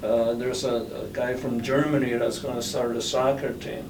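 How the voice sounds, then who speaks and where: An older man born in the Netherlands, with Dutch as his first language, recalling his past slowly with many pauses and fillers in an oral history interview. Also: medium pitch, level tone. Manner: slow, halting, many fillers. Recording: roomy, distant, noisy recording.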